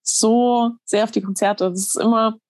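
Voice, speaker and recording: A woman musician, slightly hoarse, talking earnestly in a casual interview with many fillers. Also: fairly high pitch, steady tone, normal speed, a little mellow, no echo. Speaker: musician guest.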